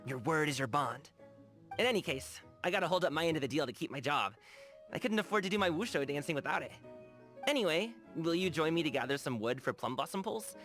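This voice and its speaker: Game role: cheerful, earnest young guy, casual and slangy, very lively pitch, music behind. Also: quick pace. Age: young adult. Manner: casual, chatty, slangy, eager. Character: cheerful, earnest young male lion-dancer and tea lover. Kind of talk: animated video game character dialogue.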